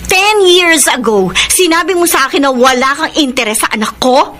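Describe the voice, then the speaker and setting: A woman's voice: sits high, animated, moderate pace, slight background noise. A woman with a Filipino accent playing a bitter, defiant single mother confronting her former lover in an emotional, scornful radio drama. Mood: bitter, indignant. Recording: loud music bed behind voice.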